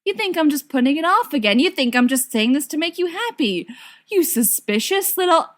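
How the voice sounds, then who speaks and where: A woman's voice: sits high, animated, a little fast, fairly bright tone, voice pushed up. A woman voicing an earnest, flustered young man in an audiobook-style dialogue reading. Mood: indignant.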